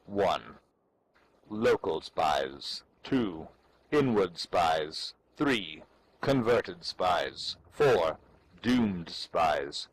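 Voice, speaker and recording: A man's voice: low-pitched, sing-song, very slow. A man giving a theatrical, portentous dramatic reading of an ancient military treatise, with playful asides. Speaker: amateur reader performing for a live audience of gamers. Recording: clearly noisy background.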